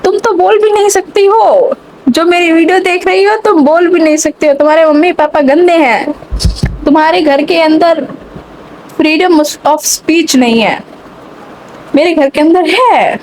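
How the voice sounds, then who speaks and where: A woman's voice: very high voice, measured pace, darkish tone, slight background noise, rough recording. A young woman vlogger ranting casually to camera in a sarcastic, chatty way, with a mixed English accent she picked up online.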